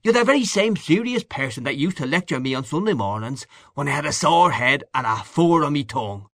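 Guy doing a loud hearty show-off for an audiobook, breezy, kinda patronising, voice pitched up and bouncing around. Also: animated, a little fast, no echo, studio quality. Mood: boastful, cheerful. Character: boisterous, boastful, hearty Irish newspaperman back home. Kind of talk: audiobook character dialogue.

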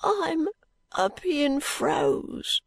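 A woman performs a feverish, stubborn street boy from London for an audiobook. The voice sits high and hoarse, muttering in a slow drawl. It sounds frightened and poorly, and very dry and close. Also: expressive, dry recording, exaggerated voice. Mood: feverish, suffering. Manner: slow, drawling uneducated dialect. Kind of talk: audiobook character voice.

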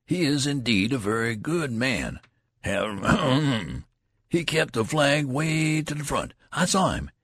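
An eager young soldier played by a man reading a story spills his news in excitable, ungrammatical country talk. His pitch jumps high and swings widely, with a slightly rough, creaky edge. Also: unhurried, no room sound, exaggerated voice. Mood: eager, excited. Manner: excitable, rustic ungrammatical talk, emphatic repetition. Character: eager young male soldier breathlessly telling big news.

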